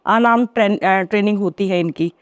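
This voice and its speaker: A woman hosting a podcast, asking curious, questioning follow-ups with soft trailing ends. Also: medium pitch, normal speed, clear, resonant tone, no echo, highs cut off. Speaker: podcast host. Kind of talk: interview questions.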